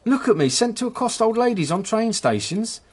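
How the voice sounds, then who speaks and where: A man's voice: sits high, big pitch swings, brisk, breathy edge, heavily acted. A man playing a nervous petty crook and hapless henchman in a radio drama, with an English accent and a hesitant, self-excusing manner. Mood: anxious, pleading. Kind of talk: British radio drama acting.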